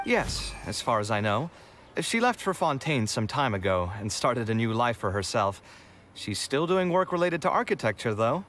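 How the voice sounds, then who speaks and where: Lively, earnest young man. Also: mid-range voice, normal speed, slight background noise. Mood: earnest, polite. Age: young adult. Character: young male architect, earnest, flustered, dutiful son. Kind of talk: scripted video game dialogue.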